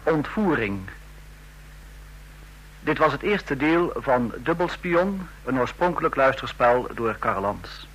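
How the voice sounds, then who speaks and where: A man reading formal, measured credits as a vintage radio announcer. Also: medium pitch, animated, fairly bright tone, slight background noise, poor audio. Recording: old radio broadcast recording.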